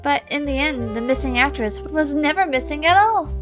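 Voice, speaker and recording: A woman's voice: sits high, expressive, moderate pace, music in the background, voice pushed up. A woman voicing a theatrical young female celebrity actress with a grand, teasing manner, in a game story.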